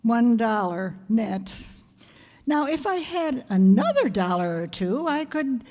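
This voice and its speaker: A woman's voice: a little high, big pitch swings, slow pace, a little mellow. A woman playing a wry, wisecracking schoolteacher with dry, sardonic delivery in old-time radio comedy style. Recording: reverberant hall stage recording.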